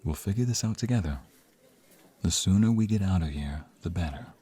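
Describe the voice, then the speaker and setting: A low, slightly rough-edged voice of a man acting out a protective young mobster in a romantic audio drama. He speaks intimately and earnestly to his lover, with menace ready for anyone who threatens them. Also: lively intonation, fairly quick, dark tone. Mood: tender, worried.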